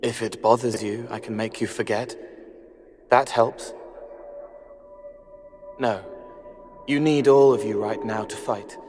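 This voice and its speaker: An actor playing a gentle, eerie young spirit in a video game, halting and dreamy in his earnest wish to help. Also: medium pitch, steady tone, close to an everyday voice. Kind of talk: video game cutscene acting. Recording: music behind.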